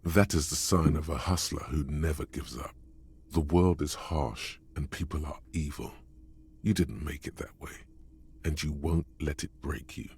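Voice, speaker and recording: An actor voicing a game's narrator, an inner voice that addresses the player with wry, goading remarks in a deep, husky tone. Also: male voice, steady tone, unhurried, a little mellow, heavily acted. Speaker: game narrator voice. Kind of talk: scripted game narration.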